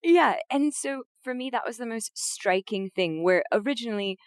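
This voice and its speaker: A woman's voice: moderate pace, dry recording. Playful giggly woman on a dry mic. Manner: playful, giggly, bursts into high excited tones. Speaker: guest, voice actress and streamer. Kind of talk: casual podcast chat.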